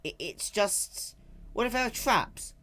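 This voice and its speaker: A man's voice: high-pitched, expressive, a little slow, pushed and bright, voice pushed up, heavily acted. A man voicing a nervous, evasive little boy dragon in a story reading, with a hesitant manner. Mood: scared. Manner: hesitant, filler-laden.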